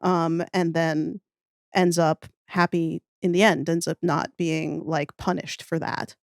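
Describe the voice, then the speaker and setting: Low-pitched woman, wry and thoughtful. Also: flat delivery, slow, gravelly, dry recording, studio quality. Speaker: podcast guest, audio fiction writer. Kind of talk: casual interview conversation.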